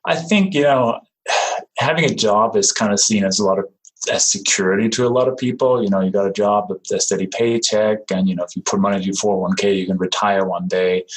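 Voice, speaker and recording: Danish guy on a podcast, lowish voice, lots of ums and restarts, easygoing. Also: husky, poor audio. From Denmark. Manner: friendly, halting, many fillers and restarts. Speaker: guest, real estate investor. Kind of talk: casual interview talk.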